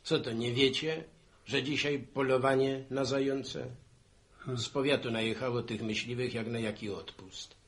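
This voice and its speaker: A man's voice: mid-range voice, steady tone, normal speed, a bit bright, natural voice. A man acting a matter-of-fact, argumentative village postman in a 1960s Polish radio serial. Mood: insistent, explaining. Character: Wiktor, village postman bringing bad news to neighbours.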